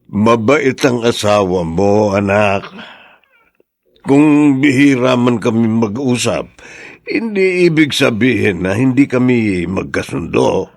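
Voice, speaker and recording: A man's voice: medium pitch, animated, slow, gravelly, dry recording, clean studio sound. An actor playing an ailing, self-effacing elderly father in a Filipino radio drama, speaking with weary, hesitant sighs. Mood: reassuring. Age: older.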